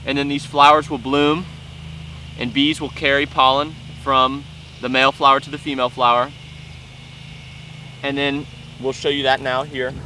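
A man's voice: medium pitch, level tone, moderate pace, pauses often, pushed and bright, slight background noise, rough recording. A young man in his twenties on a lapel mic, explaining step by step with enthusiasm while handling plants in an open farm field. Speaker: farm educator. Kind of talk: outdoor teaching walk-through.

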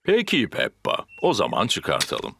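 A man's voice: low voice, wildly swooping pitch, measured pace, gravelly, very noisy, exaggerated voice. A man voicing an easygoing, cheerful father pig in a children's cartoon, with a jolly, reassuring manner. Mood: calm, helpful. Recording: music behind the voice.

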